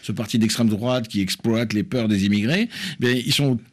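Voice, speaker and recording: A man's voice: on the low side, moderate pace. A man speaking as an academic guest in an interview, explaining analytically and repeating words for emphasis.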